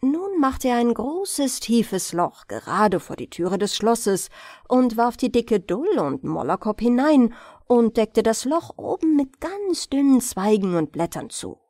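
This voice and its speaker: Slow, expressive female storyteller. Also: fairly high pitch, no echo, studio quality. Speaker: audiobook narrator of fairy tales. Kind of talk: expressive bedtime storytelling narration.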